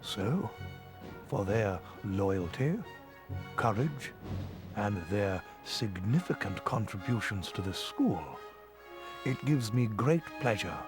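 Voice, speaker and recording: An older British man playing a wise, kindly old wizard headmaster in a video game, speaking in a measured, formal way. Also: on the low side, expressive. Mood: stately, benevolent. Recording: music behind the voice.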